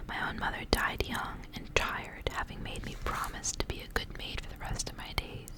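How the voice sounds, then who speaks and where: Whispering woman reading a story, ASMR. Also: rough recording. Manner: whispering, soft and unhurried storytelling. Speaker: ASMR reader.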